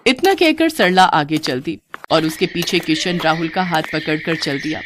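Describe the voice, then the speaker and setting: A woman's voice: deep voice, lively intonation, normal speed, noisy background. A woman reading scripted narration for a moral story as its storyteller.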